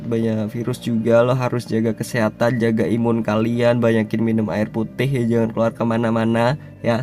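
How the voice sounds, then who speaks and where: A hoarse man talks through a casual screen tutorial at a middling pitch. He rambles in street slang and keeps clearing his throat. Soft music plays faintly underneath. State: hoarse, unwell. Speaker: YouTuber reviewing crypto sites.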